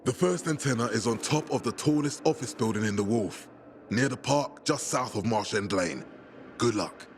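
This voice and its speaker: An actor playing a persuasive, paternal and grandiose older military leader in a video game, speaking in measured, coaxing rhetoric. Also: male voice, medium pitch, expressive, slight background noise, lightly acted. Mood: warmly commanding. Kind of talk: cinematic game dialogue.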